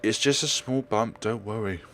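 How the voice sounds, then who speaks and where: A man's voice: lowish voice, normal speed, a bit bright, slight background noise, natural voice. A man voicing a stubborn, plucky young female real estate agent in a visual novel, eagerly brushing off concern. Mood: reassuring.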